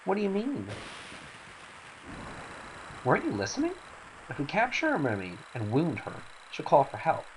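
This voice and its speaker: A man's voice: fairly high pitch, huge pitch swings, normal speed, long pauses, pitched above the performer's own voice. A man voicing a stern, pragmatic woman in a dramatic game scene, firm and admonishing in manner. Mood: brisk, commanding. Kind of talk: dramatic reading of game cutscene dialogue. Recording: faint hiss.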